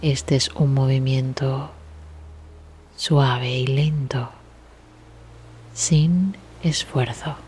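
Slow, hushed woman guiding meditation. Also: a bass voice, a little hiss or hum. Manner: soothing, hushed, gentle delivery with long pauses. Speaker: guided meditation instructor. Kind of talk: guided relaxation meditation. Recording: steady ambient sea sound behind voice. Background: sea waves ambience.

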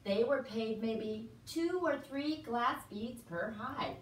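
A woman's voice: on the high side, takes it slowly. A woman voicing a proud, cheerful puppet woman who explains things to an audience with exclamations and questions in an educational show. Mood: proud, explaining. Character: proud, cheerful Chugach Sugpiaq woman puppet in fur parka. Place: indoor room, puppet stage. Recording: roomy, distant mic, faint noise.

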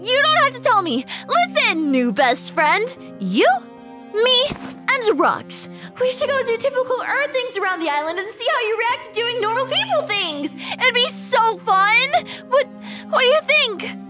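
A woman voicing a bubbly, friendly, excitable geeky girl in a cartoon, gushing and perky. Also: extremely high pitch, a little slow, soft background music, exaggerated voice. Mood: chirpy, eager. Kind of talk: animated comedy dialogue.

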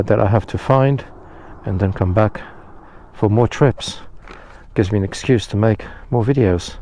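A man's voice: fairly low pitch, mellow. A man chatting casually to camera outdoors at a roadside, rambling and self-deprecating as he talks. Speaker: motorcycle vlogger. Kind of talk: casual vlog talk to camera. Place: outdoors by a roadside phone box. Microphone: head-mounted action camera.

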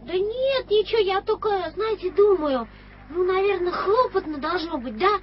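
Casting: scrappy, cheeky London street kid, high bright voice, hissy old tape. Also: female voice, lively intonation, normal speed. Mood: sly. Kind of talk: 1980s radio play acting. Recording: dull old radio play recording with hiss.

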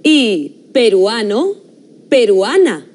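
Lively young presenter with a Spain accent. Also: female voice, on the high side. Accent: Spanish from Spain. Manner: clear, deliberate, lively. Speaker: TV course presenter. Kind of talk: scripted educational presenting. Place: TV studio. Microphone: studio mic, framed close.

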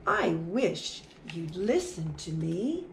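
A patient, worried mother mouse from a storybook, as a woman reading aloud puts her on. She warns and gently scolds in a soft, sighing, slow voice. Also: fairly low pitch, big pitch swings, echoey room, a little hiss or hum, pitched below the performer's own voice, heavily acted. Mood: gently reproachful.

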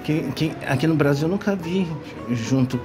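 A man's voice: a little high, expressive, moderate pace. An older man with a Brazilian accent, chatting casually to viewers on a phone camera in a cat café, delighted by the cats. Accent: Brazilian Portuguese. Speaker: vlogger. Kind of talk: casual vlog chat. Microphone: phone held at arm's length. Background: background music.

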